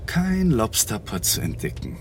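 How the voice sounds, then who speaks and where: An actor playing a gentle husband in a radio drama who keeps trying to smooth things over. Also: male voice, lowish voice, wildly swooping pitch, measured pace, noisy background, exaggerated voice. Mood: placating. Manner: soft and placating. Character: gentle conciliatory husband, eager to please. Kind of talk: 1980s radio drama acting.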